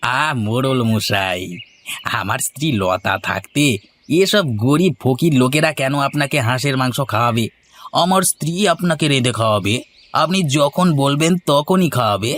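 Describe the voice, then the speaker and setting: A man's voice: medium pitch, lively intonation, normal speed, a bit bright, pitched above the performer's own voice, natural voice. A man voicing a sycophantic, spiteful village man in a cartoon, with a pushy, wheedling and agitated manner. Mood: fawning. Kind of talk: Bengali moral-story cartoon acting.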